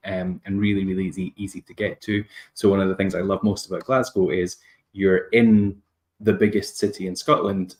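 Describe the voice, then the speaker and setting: Man on a q&a panel, really deep voice, doesn't go up and down much. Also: darkish tone. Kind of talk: live Q&A panel chat.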